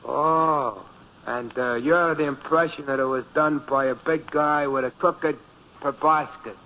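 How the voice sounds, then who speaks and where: Cheeky, higher-voiced garage guy. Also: fairly even intonation, measured pace. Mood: evasive. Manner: slangy, mock-refined word choices. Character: cheeky working-class garage mechanic, mangling big words. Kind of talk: 1940s radio comedy-drama acting. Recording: dull, noisy 1940s radio recording.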